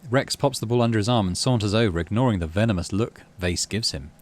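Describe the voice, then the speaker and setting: A man's voice: lowish voice, quick pace. A man casually commentating on a game, thinking aloud in murmured asides and reading story text out. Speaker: gaming channel host.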